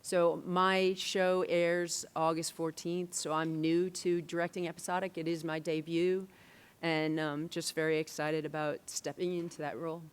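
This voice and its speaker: A woman director answering questions on a convention panel, thoughtful and pausing often with fillers. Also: low-pitched, level tone, clean tone. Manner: thoughtful, many fillers and restarts.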